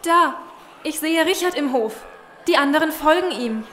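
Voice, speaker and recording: A high female voice in an echoey room, an actress as a bitter young defector in a radio play. She is scared yet determined. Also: lively intonation, moderate pace, a bit bright. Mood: urgent. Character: frightened but resolute young woman, bitter defector. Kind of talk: German audio drama acting. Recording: echoey room with background noise.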